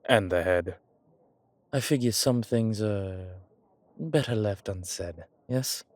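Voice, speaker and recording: Guy doing an old vampire gent, low voice, real slow, dramatic n kinda vain, audio roleplay. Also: dry recording. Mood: grateful, wry. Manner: theatrical, formal, hesitant pauses, soft chuckles. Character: old male vampire, vain, dramatic, genteel, grateful, rueful.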